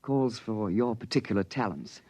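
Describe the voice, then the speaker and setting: An actor playing a hesitant, formal intelligence officer in a 1950s radio drama, with clipped delivery. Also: male voice, fairly low pitch, expressive, normal speed, a little mellow, breathy edge, dry recording. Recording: dull vintage radio recording.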